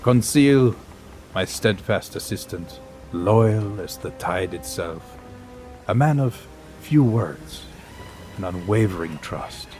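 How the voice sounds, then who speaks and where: A grave scientist narrator. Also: male voice, on the low side, lively intonation, darkish tone, faint music behind. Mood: solemn. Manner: measured, dramatic pauses. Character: grave male scientist narrating a sea voyage. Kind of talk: scripted audio drama narration.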